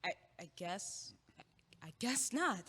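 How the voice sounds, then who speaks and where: A woman's voice: medium pitch, big pitch swings, takes it slowly, sharp tone, breathy edge, full character voice. A young woman playing an earnest, stubbornly logical, feisty student in an audio play. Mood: tentative, warming. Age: young adult. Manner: emphatic, argumentative. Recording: echoey hall with long reverb.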